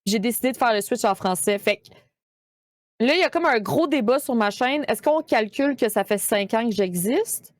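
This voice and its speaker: A woman's voice: a little high, animated, moderate pace, no echo, clean studio sound. A woman with a Québécois French accent, chatting casually as a guest streamer, with many fillers and restarts. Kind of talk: casual podcast chat.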